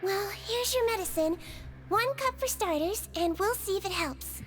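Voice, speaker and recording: Super high-pitched little nurse creature, soft and motherly, teasing a bit, faint game music behind. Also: lively intonation, normal speed. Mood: gentle. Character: small gentle female melusine nurse, kindly and teasing. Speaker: prison nurse.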